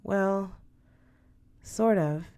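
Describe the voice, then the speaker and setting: A polite teenage schoolgirl in a storybook, read by a woman. She sounds earnest and questioning, with a medium-pitched voice as she talks with her godmother. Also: drawn-out words, mellow, smooth. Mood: earnest, hesitant.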